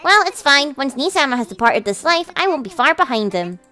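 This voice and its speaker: A prim young lady, played by a woman, the doting little sister of a visual novel. The voice is high and lilting, composed but teasing and sweetly scolding. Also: big pitch swings, brisk, bright, forceful tone, faint music behind, exaggerated voice. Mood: sweetly devoted.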